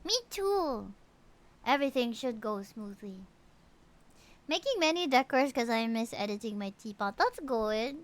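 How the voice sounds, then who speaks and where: A woman's voice: fairly high pitch, lively intonation, measured pace. A woman livestreaming a video game, chatting casually with viewers in an exclamatory, chatty way. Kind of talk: casual chat with viewers, reading game dialogue aloud. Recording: music behind the voice.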